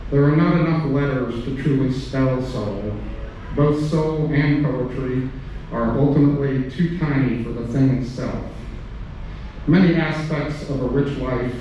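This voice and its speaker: A man's voice: medium pitch, warm tone, dull audio. A man speaking and reading aloud at a live poetry reading, recorded in a reverberant room. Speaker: poetry reader. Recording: echoey room, distant mic, faint noise.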